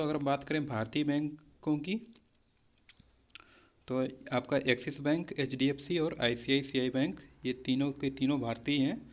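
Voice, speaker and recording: Man teaching exam questions. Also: medium pitch. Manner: instructional, repeatedly checking key points. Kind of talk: explanatory quiz lesson, addressing students as friends.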